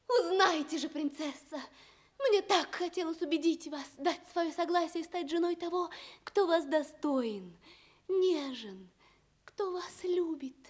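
An actress playing a tender, frank young handmaiden in a fairy-tale radio drama, expressive and theatrical. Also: female voice, very high-pitched, full character voice. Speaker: stage actress.

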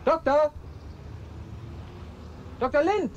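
An actor playing an earnest, excitable young doctor's assistant in a 1980s German radio play. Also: male voice, extremely high pitch, very slow, highs cut off, heavily acted. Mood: excited, alarmed. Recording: hissy vintage radio play recording.

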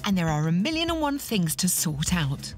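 Woman, reality TV narrator, playful puns, lively pitch, music bed. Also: normal speed. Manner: playful, punning.

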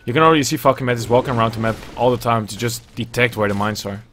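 A man's voice: medium pitch, steady tone, rapid-fire, a bit bright, slight background noise. A young man with a Dutch accent, casting a video game match on his own at home in a loose, chatty way, thinking aloud and swearing now and then. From Netherlands. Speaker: esports caster. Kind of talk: solo play-by-play game commentary. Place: indoors at home. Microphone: earbuds and webcam at a desk.

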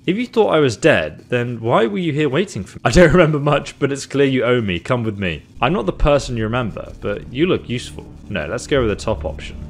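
A man's voice: mid-range voice, big pitch swings, a little fast, hardly any pauses. A man in his thirties giving casual gameplay commentary with dry, wry humor. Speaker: video game YouTuber. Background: game music.

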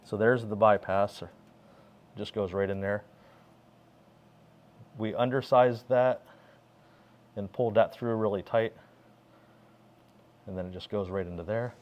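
A man's voice: on the low side, fairly even intonation, measured pace, pauses often, a little mellow. A man giving a casual hands-on walkaround of equipment he built, explaining details while filming with a handheld phone in a workshop. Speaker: pressure washing skid builder.

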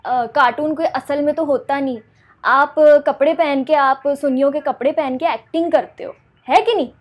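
A woman's voice: high-pitched, moderate pace. A woman, playing an indignant, scolding mother on a prank phone call. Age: 20s. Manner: scolding, indignant, talking over the other person. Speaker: prank caller. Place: small curtained room indoors. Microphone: clip-on lapel mic.

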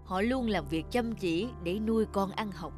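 A woman's voice: mid-range voice, normal speed, close to an everyday voice. A woman voicing a kindly male angel. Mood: gentle. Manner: calm, kindly storytelling. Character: portly male angel, gentle and soft-hearted. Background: music.

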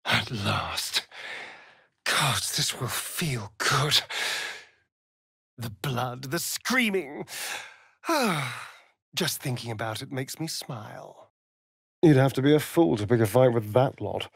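A sly vampire rogue from a fantasy video game. He talks in an arch, mocking drawl, unhurried and theatrical, with pitch that swoops freely. The sound is dry and close, every vain aside savored. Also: expressive, creaky, fairly bright tone, husky, no echo, exaggerated voice. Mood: bloodthirsty relish.

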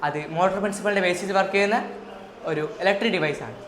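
A woman's voice: medium pitch, very fast. A woman with a Malayali accent tutoring physics, explaining step by step as in a school lesson. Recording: echoey room with faint noise.

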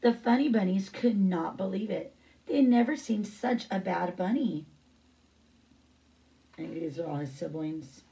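A woman's voice: mid-range voice, animated, a little slow, creaky, long pauses, mellow, distant mic, poor audio. A middle-aged woman reading a picture book aloud as a teacher, with brief asides.

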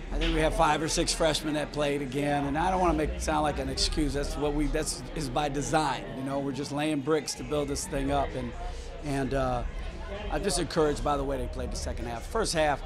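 Candid older coach at a post-game presser. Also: male voice, a little high. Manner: candid, halting, many restarts and fillers. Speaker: basketball head coach. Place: small locker room indoors. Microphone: table microphone in front.

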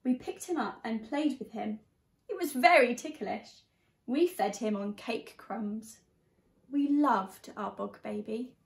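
High-voiced young woman reading in an echoey room. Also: slow, gravelly. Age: young adult. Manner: expressive, addressing young children. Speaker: teacher reading a picture book to children. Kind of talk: storybook read-aloud.

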